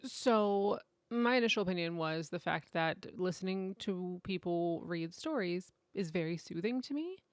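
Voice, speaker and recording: A woman's voice: on the low side, animated, measured pace, few pauses, no room sound. A woman co-hosting a podcast in a casual, conversational and giggly way. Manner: informal and conversational, often giggly. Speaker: podcast co-host. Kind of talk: casual chat.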